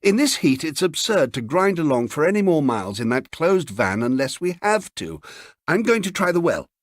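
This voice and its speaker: Man as a wilful young wife, raised pitch, pleading, insistent, brisk, storybook character, dry close sound. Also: very animated, no echo.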